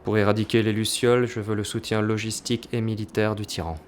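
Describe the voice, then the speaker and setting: Man playing a deep-voiced, jaded crime boss. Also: level tone, fast talker, slight background noise, close to an everyday voice. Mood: determined. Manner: dismissive, blunt. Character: jaded, cynical male crime boss, former elite fighter. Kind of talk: audio drama acting.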